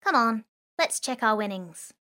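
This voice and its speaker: A woman's voice: a little high, expressive, measured pace, sharp tone, pitched above the performer's own voice. A woman voicing a young punk girl thief who talks back sharply. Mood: scolding.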